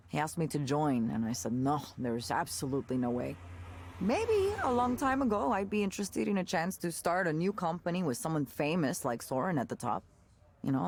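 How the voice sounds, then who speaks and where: An actress playing a candid, jaded former tech worker in a visual novel, conversational and sardonic with disgusted asides. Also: female voice, low-pitched, creaky, darkish tone, husky, full character voice. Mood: sarcastic, dismissive. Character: candid, jaded woman ex-tech worker telling a wry story. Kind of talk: naturalistic visual novel voice acting.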